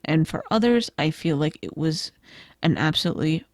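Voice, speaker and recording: A woman losing her voice gives a gentle, reflective tarot reading, with frequent "I feel like" and "kind of". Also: low-pitched, expressive, moderate pace, dry recording, clean studio sound. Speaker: tarot reader. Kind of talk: pick-a-card tarot reading.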